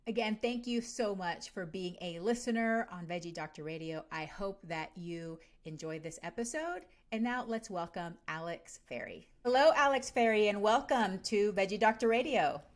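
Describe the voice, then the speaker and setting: A woman's voice: mid-range voice, normal speed, few pauses. A woman hosting a podcast, introducing her guest and asking questions in a friendly, upbeat way. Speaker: podcast host, doctor.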